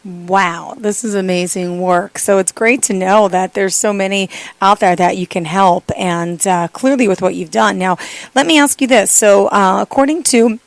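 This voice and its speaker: A woman's voice: mid-range voice, normal speed, gravelly, no room sound. A woman hosting an interview podcast, upbeat and enthusiastic as she introduces and asks questions. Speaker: podcast host. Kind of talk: interview host.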